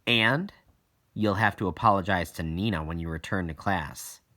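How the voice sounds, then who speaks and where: A man voicing a firm, patient woman school principal in a children's audiobook, measured and explanatory. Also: low voice, sharp tone. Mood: firm, calm.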